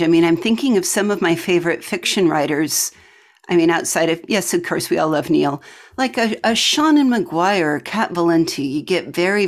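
A woman asking questions and musing as a podcast panelist in a casual, conversational style. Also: lowish voice.

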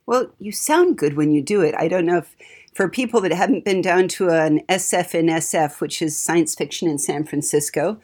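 Female podcast host, casual and conversational, lower register, husky edge. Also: moderate pace, darkish tone.

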